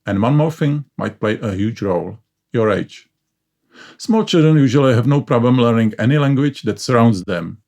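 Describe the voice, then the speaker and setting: A man's voice: mid-range voice, expressive, moderate pace, vocal fry, a little mellow. Man narrating. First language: Czech. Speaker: educational channel host. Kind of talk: scripted explainer narration.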